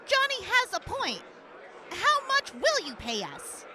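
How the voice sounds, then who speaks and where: A hot-headed young wasteland raider girl for an audio drama. Her voice is extremely high and swings widely, with a drawling, unhurried pace. She is cocky and foul-mouthed. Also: huge pitch swings, very noisy, exaggerated voice. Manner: cocky, hot-tempered, sweary. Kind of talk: post-apocalyptic audio drama acting.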